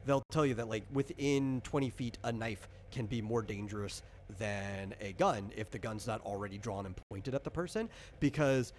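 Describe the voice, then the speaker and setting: A man's voice: on the low side, moderate pace, few pauses, slight background noise. A man explaining things in casual chat as a game designer, often checking in with "right?". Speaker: game designer guiding character creation.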